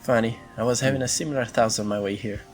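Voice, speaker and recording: A man's voice: mid-range voice, steady tone, quick pace, faint music behind, close to an everyday voice. A man voicing a thoughtful, friendly coffee shop regular in a visual novel fan dub, reflective and conversational.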